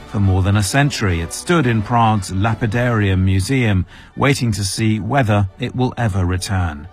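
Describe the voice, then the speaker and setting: A man reporting as a news correspondent in a measured, scripted narration. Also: fairly low pitch.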